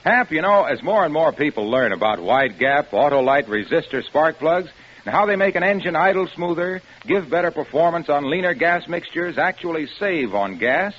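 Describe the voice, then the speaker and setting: Muffled old radio, animated male pitchman. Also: normal speed. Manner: enthusiastic salesman. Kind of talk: scripted 1940s-50s radio advertisement.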